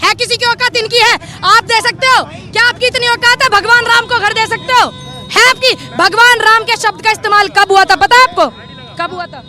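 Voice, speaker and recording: A woman at an outdoor street debate, arguing forcefully and firing rhetorical questions. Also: extremely high pitch, normal speed, crowd noise. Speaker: member of the public at a street debate.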